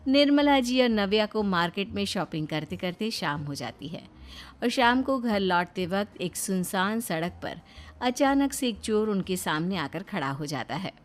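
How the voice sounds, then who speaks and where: A woman's voice: medium pitch, animated, moderate pace. A woman reading scripted narration as a storyteller.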